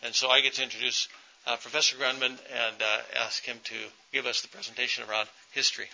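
A man, a family doctor, introducing the next speaker at a conference in a relaxed way with many fillers. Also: mid-range voice, fast. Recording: dull lo-fi sound.

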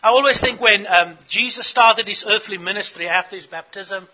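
A man's voice: sits high, normal speed, muffled recording. A middle-aged man from Africa, giving an earnest teaching talk to church leaders and putting questions to the audience. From South Africa. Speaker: conference speaker.

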